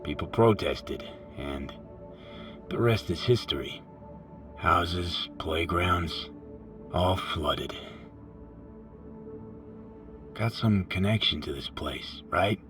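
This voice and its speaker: A man's voice: deep voice, expressive, slow pace. An actor playing a laconic, curious male mercenary with a dry streak, speaking tersely and casually in naturalistic video game dialogue. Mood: matter-of-fact. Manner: terse, casual, matter-of-fact. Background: loud music.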